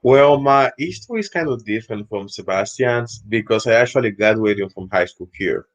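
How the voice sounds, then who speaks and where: Young man with low, relaxed speech. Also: moderate pace, dull audio. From Equatorial Guinea. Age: young adult. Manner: relaxed, rambling with fillers. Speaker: student guest. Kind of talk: casual interview answers.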